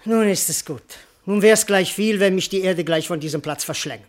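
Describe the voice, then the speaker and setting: A man's voice: high-pitched, normal speed, lightly acted. Man acting a bewildered, plaintive cowardly servant. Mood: resigned. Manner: plaintive, wordy, comically indignant. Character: bewildered, cowardly male servant doubting his own identity. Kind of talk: classical verse comedy radio acting.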